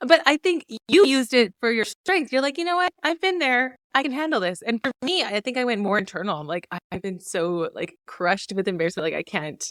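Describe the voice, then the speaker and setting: A woman's voice: a little high, brisk, few pauses, dry recording, clean studio sound. A woman hosting a podcast interview, effusive and enthusiastic with her guest. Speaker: podcast host. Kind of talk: casual interview chat.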